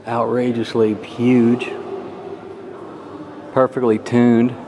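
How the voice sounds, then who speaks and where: A man's voice: mid-range voice, little pitch movement, very slow. A man giving a casual, awed commentary to himself as he films a handheld video tour inside a church. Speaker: amateur videographer and music fan. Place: inside a church beside the organ. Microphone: handheld camera, operator behind it. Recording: clear background noise, handheld camera audio.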